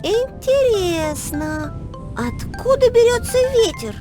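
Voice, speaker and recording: A woman voicing a curious, excitable little girl who wonders aloud expressively in a children's educational cartoon. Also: very high voice, slow pace, over music, heavily acted. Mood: curious, puzzled.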